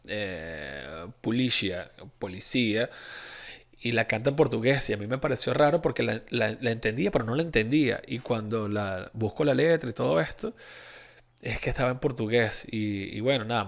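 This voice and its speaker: A man's voice: medium pitch, animated, normal speed, gravelly. A young Venezuelan man with a Venezuelan Spanish accent hosting a podcast, talking in a rambling way with many restarts and fillers. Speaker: podcast host. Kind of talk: casual solo podcast monologue.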